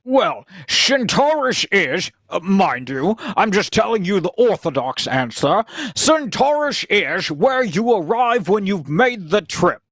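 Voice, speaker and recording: A woman's voice: mid-range voice, sing-song, slow, hardly any pauses, dry recording. A woman playing an anxious, hesitant young male scientist with orthodox beliefs in a radio sci-fi drama. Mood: earnest, hesitant. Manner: hesitant, incredulous, protesting. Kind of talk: cold-read old-time radio sci-fi drama.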